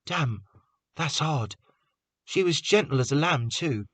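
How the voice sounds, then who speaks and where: A soft-hearted young blacksmith's apprentice, quiet and subdued, as a narrator puts him on. His voice sits higher than the narrator's own but stays soft. The sound is bright and dry. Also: lively intonation, slow, no echo, exaggerated voice. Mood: sympathetic. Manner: subdued, sympathetic.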